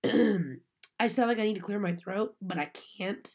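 A woman's voice: medium pitch, a little slow, creaky, a little mellow, breathy edge, lo-fi sound. A woman, sick with a cold and sounding nasal, chatting casually to a webcam in a small room. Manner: chatty, apologetic. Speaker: unboxing vlogger. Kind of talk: casual chat to camera. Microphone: webcam at desk.

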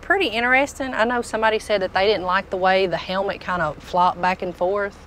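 A woman's voice: mid-range voice, brisk, fairly bright tone, a little hiss or hum. A woman, casually showing products as a tool dealer inside a packed truck. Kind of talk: casual product walk-through chat.

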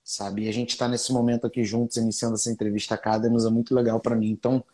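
A man in his thirties hosting an interview over a video call on an earphone mic, welcoming his guest warmly and repeating words for emphasis. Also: mid-range voice, flat delivery, quick pace. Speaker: host, interviewer. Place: small office indoors. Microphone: earphone headset mic on video call.

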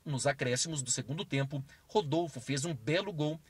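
A man narrates match results in an even, brisk newsreader delivery. His voice is husky and sits a little high for a man, and the recording is dry and close, with no room around it. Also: dry recording. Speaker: sports news narrator. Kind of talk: scripted news voice-over.

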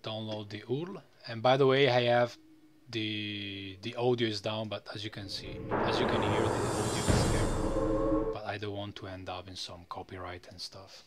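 A man presenting a casual, unscripted software tutorial in a rambling way, often tagging phrases with "you know". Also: on the low side, level tone, moderate pace, over music.

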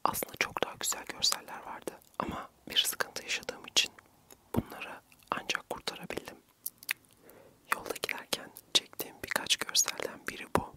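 Young male ASMR vlogger, fully whispered narration, gentle and breathy, close-miked. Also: moderate pace. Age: young adult.

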